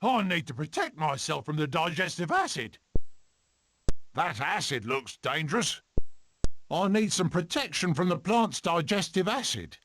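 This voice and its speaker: Cocky weasel adventurer for a cartoon game, high bright voice that swoops all over, theatrical and boastful. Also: male voice, big pitch swings, measured pace, slight background noise, full character voice. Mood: wary, musing. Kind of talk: animated film video game voice clips.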